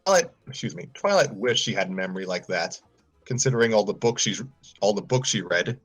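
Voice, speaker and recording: A man's voice: fairly low pitch, animated, brisk. Man reading a story with faint music. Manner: stumbles and repeats phrases. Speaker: story reader on a livestream. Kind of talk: storybook narration, read aloud informally.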